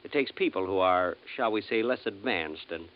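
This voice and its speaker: Low-voiced wistful man played by an actor, old radio. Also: animated, normal speed, close to an everyday voice. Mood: calm, understated. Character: wistful, lovelorn man welcomed among Martians. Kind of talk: 1950s radio drama acting. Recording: muffled vintage 1950s radio recording.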